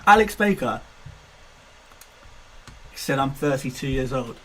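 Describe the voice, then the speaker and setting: A man's voice: mid-range voice, animated, slow, slight background noise, poor audio. A man in his twenties chatting casually on a live stream, self-mocking and laughing often. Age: 20s. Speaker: fantasy football streamer.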